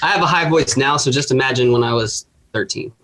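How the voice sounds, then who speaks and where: A young man from Atlanta, a musician in a band, talks through his answers in a loose, rambling way full of filler words. His voice sits at a plain middle pitch for a man. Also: brisk, sharp tone, low-quality recording. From Atlanta, USA. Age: young adult. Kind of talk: casual interview answers.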